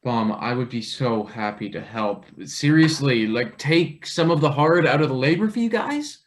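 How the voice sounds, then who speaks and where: A man's voice: medium pitch, lively intonation, normal speed, lightly acted. A man playing an eager, hesitant young male intern with dry wit in a stage play table read. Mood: tentative, wry.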